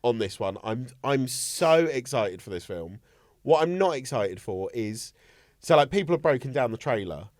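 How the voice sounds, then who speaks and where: A man's voice: medium pitch, lively intonation, normal speed, no echo, studio quality. A young man hosting a podcast, chatting casually and joking around with silly impressions. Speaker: podcast host. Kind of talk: casual chat.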